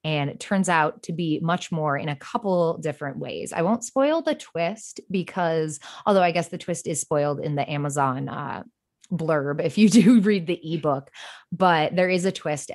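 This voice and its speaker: A woman's voice: low voice, animated, a little slow. A woman speaking casually as a podcast guest, talking as a writer would in an interview.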